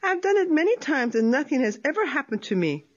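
A woman's voice: sits high, very animated, fairly quick, voice pushed up. A woman voicing a sly, cheerful male opossum trickster who sweet-talks in Spanish and English. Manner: sweet-talking, chirpy, switches Spanish and English. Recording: dull lo-fi recording.

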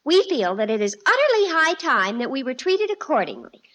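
An actress playing a spirited teenage daughter in a 1950s radio sitcom, declaiming in a mock-official, giggly way. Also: female voice, high voice, very animated, a little fast, fairly bright tone. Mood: mock-solemn. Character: spirited teenage daughter leading a family revolt.

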